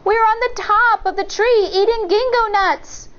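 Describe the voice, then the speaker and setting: A woman's voice: very high voice, steady tone, measured pace, a bit bright, muffled recording, pitched above the performer's own voice. A woman voicing a clever young eldest sister in a storybook, coaxing sweetly in a sing-song way. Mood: coaxing, crafty.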